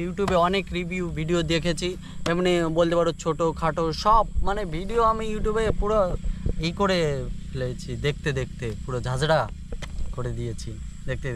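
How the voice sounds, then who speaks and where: A man's voice: a little high, fairly bright tone. A young man chats casually to camera in an informal, buddy-like way, as in an unboxing vlog. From rural India. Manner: informal, buddy-like address, repeats filler phrases. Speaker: moto vlogger. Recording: noisy outdoor ambience.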